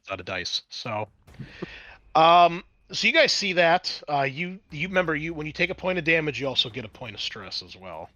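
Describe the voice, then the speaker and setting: Bright-voiced man running a tabletop game. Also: a little high, very animated, moderate pace, no room sound. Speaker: game master. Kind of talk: casual tabletop game narration and rules explaining.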